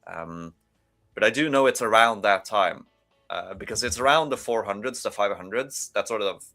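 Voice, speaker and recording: A Norwegian man with a fairly low but bright, forward voice, talking in a loose, rambling way full of fillers and restarts. Faint music sits behind him. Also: normal speed, creaky. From Norway. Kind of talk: casual panel chat.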